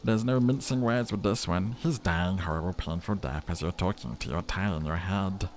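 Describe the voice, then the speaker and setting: A man's voice: sits low, moderate pace, a little hiss or hum, close to an everyday voice. A man voicing a talking necktie in a role-playing game, a blunt, fatalistic and theatrical boozy confidant. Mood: grim, resigned. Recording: music behind the voice.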